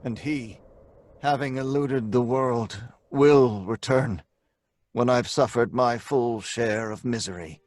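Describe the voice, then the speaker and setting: An actor playing a tormented, guilt-ridden scientist, earnest and dramatic, in old time radio horror. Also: male voice, medium pitch, slow, darkish tone, dry recording, close to an everyday voice. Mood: desolate. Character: tormented, guilt-ridden scientist telling his tragic story.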